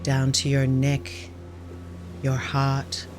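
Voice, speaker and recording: Female meditation guide, calm and gentle, mid-low register, unhurried teaching delivery, noticeable ambient noise. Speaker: Buddhist nun, meditation teacher.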